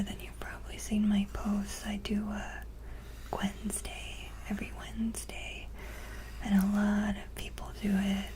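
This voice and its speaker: A woman hosting an ASMR video, chatting casually to viewers in a soft, gentle whisper. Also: mid-range voice, noisy background.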